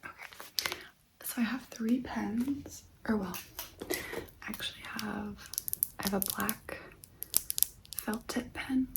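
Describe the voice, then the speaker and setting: A woman hosting ASMR in a breathy whisper, talking gently and directly to the listener and repeating phrases. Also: medium pitch, fairly even intonation, slow pace, pauses often, heavy background noise. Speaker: ASMR host.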